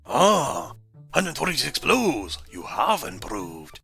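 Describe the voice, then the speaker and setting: A man voicing a stern old male martial arts sensei, speaking slowly and formally in a mock Russian accent. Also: mid-range voice, wildly swooping pitch, creaky, sharp tone, slightly rough, soft background music, full character voice. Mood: solemn. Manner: slow, formal, solemn delivery.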